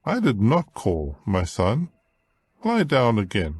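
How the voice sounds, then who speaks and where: A man's voice: fairly low pitch, huge pitch swings, takes it slowly, darkish tone, slightly rough, dry recording, exaggerated voice. An actor playing an elderly, fatherly priest, grave in manner, in a dramatized scripture audiobook. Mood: patient, instructing.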